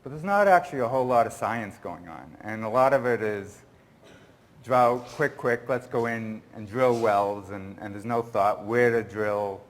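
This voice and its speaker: A man's voice: lowish voice, moderate pace. A man speaking at a conference, answering an audience question in a rambling, earnest way, often restarting his sentences. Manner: rambling, self-correcting, earnest.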